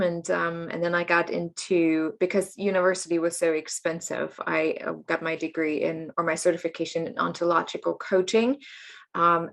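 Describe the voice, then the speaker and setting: A woman from Germany speaks in a fairly low voice. She is in her late thirties and tells her personal story in an interview, open and chatty, often breaking into laughter. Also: a bit bright. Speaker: guest, coach and healer.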